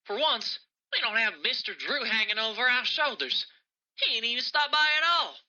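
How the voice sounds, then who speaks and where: An excitable studio animator, in a cartoon horror game. He is brash and animated, with a forceful tone on dull old tape. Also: very high-pitched, normal speed, exaggerated voice. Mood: elated. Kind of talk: audio log in a cartoon horror video game.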